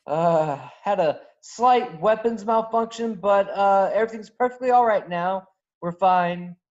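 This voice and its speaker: A man's voice: sits high, measured pace, darkish tone. A man acting a cocky, skeptical smuggler in a table read, over a virtual call. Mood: flustered bluffing. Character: cocky, skeptical male smuggler, gruff but improvising nervously. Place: virtual call from separate location. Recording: dull lo-fi video-call audio.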